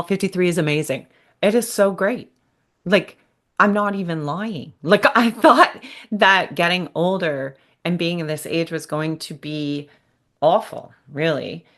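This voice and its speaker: A woman's voice: deep voice, a bit bright. A woman in her fifties hosting a podcast interview, speaking candidly in a chatty, self-deprecating way. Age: 50s. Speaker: podcast host. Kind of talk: conversational interview.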